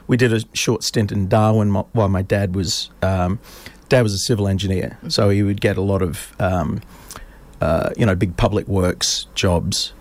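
A man's voice: lowish voice, fairly even intonation, normal speed. A man in his fifties, raised in Australia, telling stories in a casual interview with many fillers and restarts. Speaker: podcast guest.

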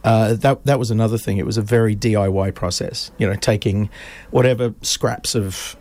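A man in his fifties from Australia, chatting as a guest in a casual interview. He rambles through stories with plenty of ums and restarts. His voice sits a little low. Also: steady tone, normal speed, a little mellow. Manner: rambling storyteller, many fillers and restarts. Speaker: podcast guest.

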